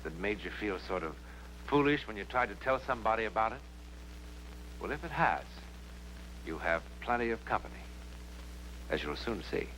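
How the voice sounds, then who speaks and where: A man's voice: on the low side, animated, moderate pace, pauses often, a bit bright, a little hiss or hum, poor audio. A man presenting a scripted introduction to a mystery programme, addressing the listener directly.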